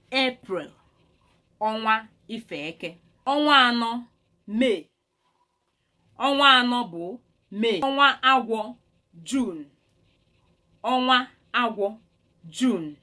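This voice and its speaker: A woman with a Nigerian Igbo accent gives an instructional language lesson at a middling pitch. As a native Igbo speaker and teacher, she sets out each term deliberately and repeats it for learners. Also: pushed and bright.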